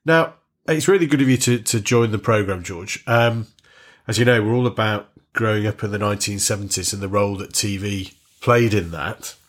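A man's voice: on the low side, moderate pace. A middle-aged man from Warrington, hosting and interviewing a guest in a chatty, friendly way. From Warrington, North West England. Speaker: podcast host.

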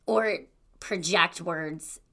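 Young adult woman, podcast host, casual encouraging monologue, gravelly fry in the voice. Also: on the low side, drawn-out words, pushed and bright. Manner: chatty and encouraging, with fillers like "you know" and "like".

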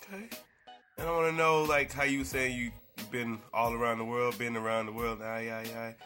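A man's voice: medium pitch, fairly even intonation, normal speed, bright, forceful tone, faint music behind. A man hosting an interview, asking questions in a casual, loose way. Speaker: interview host.

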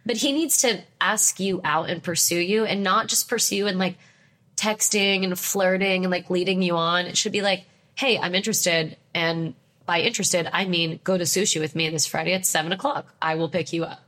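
A young woman with a stuffed-up nose from a cold, talking bluntly and emphatically. Her voice sits a little low, and she sounds opinionated and sure of herself. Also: steady tone, moderate pace, a bit bright. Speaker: podcast co-host. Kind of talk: casual chat.

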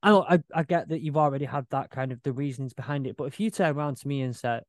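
Casting note: podcast guest, mid-pitch, halting casual talk, very dry close recording. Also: male voice, dry recording. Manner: loose, searching for words. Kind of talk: casual chat.

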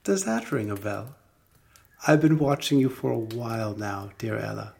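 A man's voice: medium pitch, animated, moderate pace, vocal fry, darkish tone, voice pushed down. A man voicing a kindly, eccentric fairy godmother in a storybook, speaking reassuringly and affectionately. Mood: warm, teasing. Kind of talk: storybook character reading.

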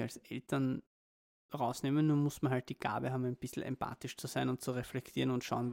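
A man's voice: mid-range voice, vocal fry, a little mellow. A man co-hosting a casual parenting podcast, talking in a reflective, rambling way with many restarts. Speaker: podcast co-host.

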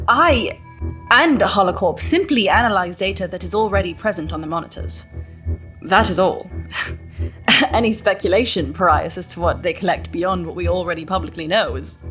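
A woman acting in an audio drama as a self-assured scientist, speaking in a measured, formal and evasive way in an interview. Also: lowish voice, over music, lightly acted. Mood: guarded, firm. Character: guarded, self-assured female visionary scientist and corporate leader.

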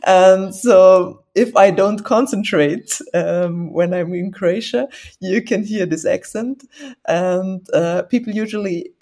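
A woman's voice: medium pitch, slow, dark tone. A woman from southern Burgenland, interviewed, explaining dialect grammar with examples and laughing often. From southern Burgenland, Austria. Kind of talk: casual interview.

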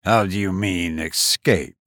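A calm, worldly lawyer with a lowered, steady voice and a dry, cynical manner, as a male narrator puts him on. He speaks slowly and deliberately in a close, dry reading. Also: no room sound. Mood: measured. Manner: measured, cynical.

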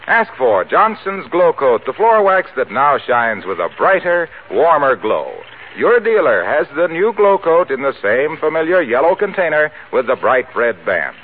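A man working as a radio announcer, delivering scripted 1940s-style announcements and sponsor pitches. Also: sing-song, unhurried. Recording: scratchy narrow-band 1940s radio recording.

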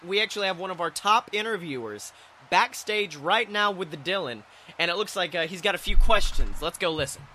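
Man acting bright, high-voiced wrestling commentator. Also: lively intonation, fairly quick, a little hiss or hum, heavily acted. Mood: earnest, dramatic. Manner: dramatic, announcerly, easily outraged. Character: excitable, earnest male wrestling show commentator. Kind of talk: sports entertainment commentary.

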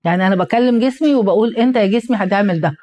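A young adult Egyptian woman co-hosting a casual podcast, talking in an animated way with a tired, strained voice. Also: medium pitch, racing. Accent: Egyptian Arabic. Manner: animated storyteller, quotes people in lively asides. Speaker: podcast co-host.

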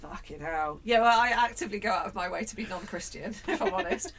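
A woman co-hosting a podcast, chatting casually, self-mocking and quick to laugh, with the odd swear. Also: medium pitch, expressive, fairly bright tone, husky. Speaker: podcast co-host. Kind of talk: casual chat.

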